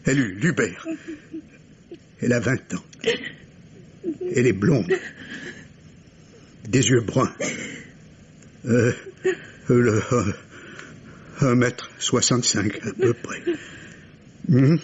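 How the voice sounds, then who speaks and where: An actor playing an anxious middle-aged professor and worried father in an old radio mystery play, halting and repeating his words. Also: medium pitch, natural voice. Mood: anxious, agitated. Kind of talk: old French radio drama acting. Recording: dull lo-fi vintage radio, clear hiss.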